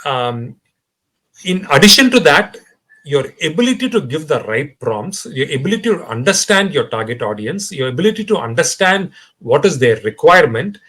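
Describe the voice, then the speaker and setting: A man with an Indian English accent talks as a guest in a podcast interview. His voice is lively, climbing and dropping through each phrase, and it sits a little above the usual male register. He speaks in an animated way, full of fillers and restarts. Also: a little high, moderate pace. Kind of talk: conversational interview.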